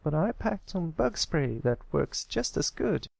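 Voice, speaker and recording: A man's voice: on the high side, sing-song, unhurried, creaky, darkish tone, no room sound, pitched above the performer's own voice, heavily acted. A man voicing a plucky, matter-of-fact young filly pony with a drawl in a read-aloud story. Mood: teasing.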